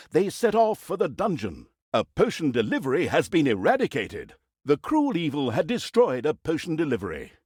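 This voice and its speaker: An actor playing a theatrical, mock-pompous narrator of villainous deeds in a comic video game, with a sardonic, mocking manner. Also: male voice, a little high, a little mellow, breathy edge, no room sound, clean studio sound, exaggerated voice.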